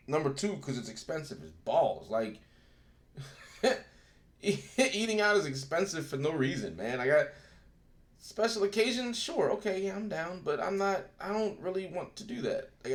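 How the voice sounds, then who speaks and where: Husky, laid-back male streamer. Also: a little high, sing-song, moderate pace. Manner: laid-back, profane, rambling. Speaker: streamer and show host. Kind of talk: casual livestream chat. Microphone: desk microphone, distance varies.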